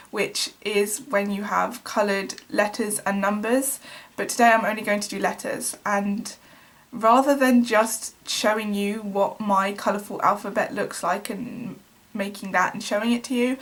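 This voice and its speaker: Chatty young woman vlogging. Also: mid-range voice. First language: English. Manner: chatty, enthusiastic, with frequent ums and restarts. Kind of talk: casual explanatory vlog.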